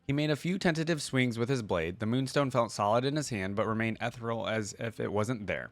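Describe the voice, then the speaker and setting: A man hosting a reaction video, reading fantasy lore aloud and commenting casually. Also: lowish voice.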